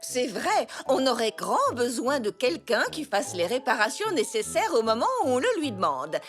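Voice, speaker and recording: An actress playing a gentle, playfully teasing grandmother zebra in a French children's cartoon. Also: a little high, very animated, moderate pace, soft background music.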